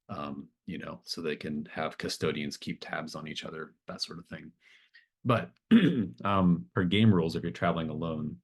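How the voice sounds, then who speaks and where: A man running a tabletop roleplaying game as game master, talking casually and explaining things in an encouraging way. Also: sits low.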